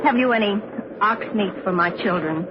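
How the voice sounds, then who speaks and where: A woman's voice: mid-range voice, moderate pace, close to an everyday voice. An actress playing an anxious, worn pioneer mother in an old radio drama, plaintive and pleading. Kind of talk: 1940s-50s radio drama acting. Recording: dull, noisy old radio recording.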